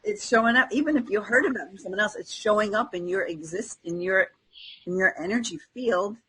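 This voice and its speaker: Husky-voiced woman chatting. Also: medium pitch, fairly quick, fairly bright tone, low-quality recording. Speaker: podcast co-host. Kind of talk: casual spiritual discussion.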